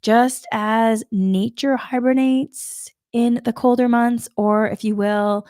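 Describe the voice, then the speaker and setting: A woman from Massachusetts with a slight Boston accent, giving a gentle, rambling spiritual talk on a live stream, often repeating herself. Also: a little high, fairly even intonation, slow, clear, resonant tone, no room sound, clean studio sound. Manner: repetitive, gentle, rambling with many restarts. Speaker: spiritual energy forecaster. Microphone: live stream microphone.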